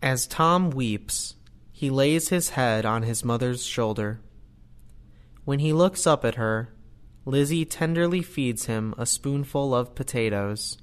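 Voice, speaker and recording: A man's voice: mid-range voice, slow pace, clean tone. A man giving scripted narration of stage directions as the narrator of an audio play.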